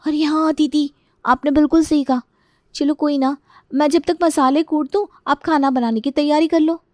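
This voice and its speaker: A woman's voice: sits high, steady tone, moderate pace, darkish tone, no echo, clean studio sound, pitched above the performer's own voice, close to an everyday voice. A woman voicing a young, clumsy, whiny daughter-in-law in a storybook tale, complaining and exclaiming dramatically. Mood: eager.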